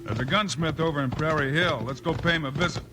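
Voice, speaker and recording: An actor playing a steady, plain-spoken American lawman hero in a radio western. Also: on the high side, fast talker, natural voice. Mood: serious, calm. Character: steady, no-nonsense heroic Old West lawman. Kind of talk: 1950s radio western acting. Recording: old radio broadcast with faint noise.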